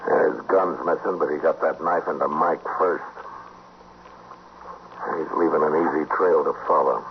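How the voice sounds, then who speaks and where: An American actor playing a steady frontier marshal in a 1950s Western radio drama, speaking in clipped, authoritative lines. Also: male voice, very deep voice, normal speed. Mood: brisk, grim. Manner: authoritative, clipped, plain-spoken. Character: commanding, steady male marshal of a frontier town. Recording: muffled, hissy 1950s radio recording.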